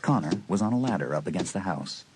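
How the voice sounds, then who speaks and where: A man's voice: low-pitched, animated, fast. A man narrating a dramatised teen horror story in a scripted style. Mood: measured suspense. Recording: noisy dramatised audio tape.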